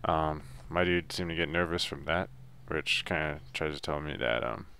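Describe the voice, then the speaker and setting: Laid-back guy doing a game guide, really deep voice with lots of fry, rambly and hesitant. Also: moderate pace, sharp tone, a little hiss or hum. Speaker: video game guide narrator. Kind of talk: casual unscripted walkthrough commentary.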